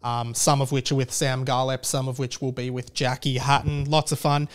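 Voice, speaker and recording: A man's voice: medium pitch, little pitch movement, normal speed, clear, resonant tone. An Australian man hosting a podcast solo, chatting casually in an informal, rambling way. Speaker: podcast host.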